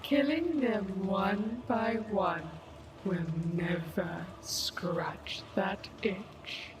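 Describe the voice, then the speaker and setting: A creepy monster big sister, smooth n whispery, real slow, noisy ambience behind her. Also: medium pitch. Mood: cold, knowing. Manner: slow, deliberate delivery. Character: eerie, knowing monstrous older sister, gnarled hulking flesh. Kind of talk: dark fantasy audio drama acting.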